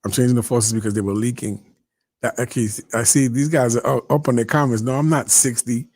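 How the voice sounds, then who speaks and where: A man in his fifties hosts a relaxed live chat and greets viewers by name between stories. He talks loosely and keeps breaking off to answer comments. His voice sits in a middle pitch and sounds close and dry. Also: a little fast, dry recording. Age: 50s. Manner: chatty, reads out viewer comments, often interrupts himself. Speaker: host. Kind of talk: casual livestream chat.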